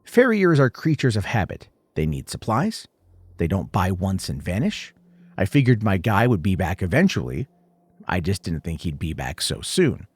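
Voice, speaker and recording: A man's voice: deep voice, big pitch swings, normal speed, slightly rough, no room sound. A man narrating a scripted first-person horror story in short, suspenseful, dramatic phrases.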